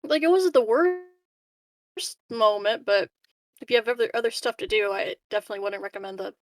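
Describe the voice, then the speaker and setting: A woman's voice: medium pitch, brisk. A woman playing a tabletop roleplaying game, chatting casually and tentatively in character. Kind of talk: casual chat, speaking in character.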